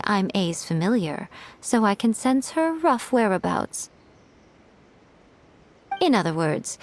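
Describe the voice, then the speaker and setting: A woman playing a composed, teasing female shrine priestess in a game, speaking wryly and unhurriedly. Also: mid-range voice. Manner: wry, unhurried, faintly mocking. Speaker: game character.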